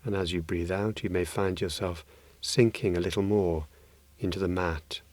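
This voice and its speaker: A man leading a guided meditation as a teacher, speaking in gentle phrases with long pauses, recorded close and dry. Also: low voice, fairly even intonation, no echo.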